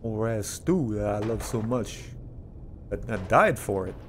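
A man's voice: lowish voice, animated, slow pace, background noise. A man giving casual, rambling video game commentary, with some swearing.

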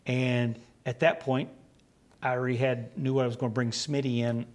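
A man in his sixties from West Virginia with a West Virginian accent, telling rambling stories in a relaxed interview on a small studio set, acting out the exchanges he quotes. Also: medium pitch, moderate pace. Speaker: guest, comic book writer. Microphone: lapel.

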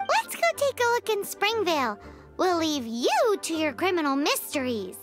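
A tiny fairy companion from a video game, chirps in an extremely high, childlike voice. She swings widely in pitch, eager and quick to get indignant. Music plays clearly behind her. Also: huge pitch swings, heavily acted. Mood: flustered. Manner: speaks of herself in the third person. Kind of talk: animated video game dialogue.

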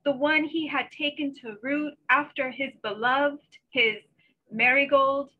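Female poet, middle-aged, high forceful voice, unhurried delivery, slightly dull video-call sound. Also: steady tone. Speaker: poet reading own work. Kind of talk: poetry reading with informal introductions. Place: small bedroom at home. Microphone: computer mic on a video call.

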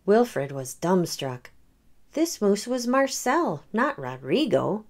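Slow, expressive woman reading a children's storybook. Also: mid-range voice. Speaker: host reading a picture book aloud.

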